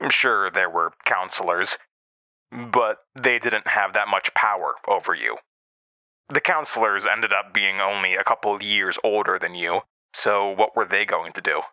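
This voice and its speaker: A grown man looking back on an uneasy boyhood, in an audio horror drama. His voice is low but very bright and sharp, recorded close and dry. He tells it conversationally, with a wry edge. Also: dry recording, rough recording. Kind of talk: scripted first-person horror drama narration.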